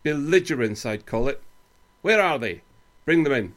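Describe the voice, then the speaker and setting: A man's voice: medium pitch, expressive, moderate pace, fairly bright tone, natural voice. A man playing a stern, impatient senior army officer in a radio play, curt and scornful with authority. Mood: brusque, scornful. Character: stern, impatient senior British army officer presiding over court-martial. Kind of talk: radio drama acting.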